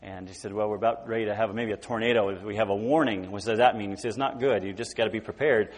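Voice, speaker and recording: Halting forty-something man preaching in a hall. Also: fairly low pitch, steady tone. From California. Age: 40s. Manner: halting, repeats words, many fillers. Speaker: guest preacher. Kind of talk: informal sermon. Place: church sanctuary.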